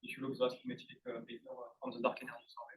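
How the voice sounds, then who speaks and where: An audience member at a conference adding a comment, speaking hesitantly with many fillers. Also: male voice, mid-range voice, monotone, rapid-fire.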